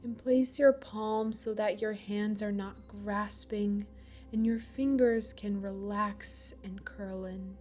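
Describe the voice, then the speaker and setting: Woman doing a guided meditation, really slow n gentle, soft music behind her. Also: mid-range voice, fairly even intonation. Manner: slow, gentle, soothing delivery with long pauses.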